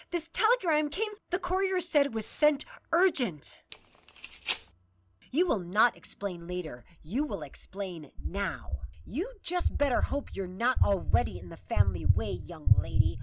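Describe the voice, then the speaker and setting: A woman's voice: on the high side, background noise. An actress playing a stern older mother and landlady who scolds shrilly in an audio drama.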